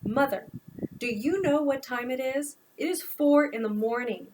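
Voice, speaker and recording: A woman's voice: on the high side, unhurried. A woman voicing a blunt, exasperated young man who answers his mother, in a storybook reading.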